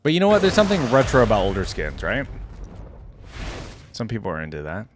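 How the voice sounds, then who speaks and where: A man's voice: fairly low pitch, wildly swooping pitch, brisk. Man musing casually over game music. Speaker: reaction channel host. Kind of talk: casual reaction commentary on game character skins.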